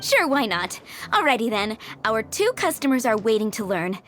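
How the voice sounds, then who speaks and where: Upbeat bossy tavern owner, bright lively voice, music under it. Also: female voice, fairly high pitch, moderate pace. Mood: breezy. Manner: breezy, upbeat, firm. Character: cheerful adult tavern owner, bossy and breezy.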